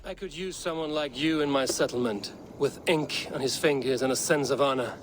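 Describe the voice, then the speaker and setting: An actor playing a grim, blunt Viking raider leader in a video game, terse and stern in formal phrasing. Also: male voice, medium pitch, brisk, close to an everyday voice. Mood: stoic. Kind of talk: dramatic video game cutscene acting. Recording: clear outdoor ambient noise behind.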